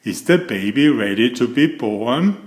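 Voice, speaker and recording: A man voicing a proud, eager young bear cub in a children's storybook, with excited emphasis. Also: mid-range voice, measured pace, close to an everyday voice. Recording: echoey room.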